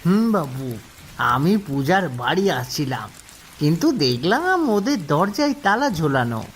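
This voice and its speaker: A woman's voice: sits low, big pitch swings, a little slow. A woman voicing a humble, hesitant old man, a worried stranger in a cartoon. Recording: faint rain noise behind voice.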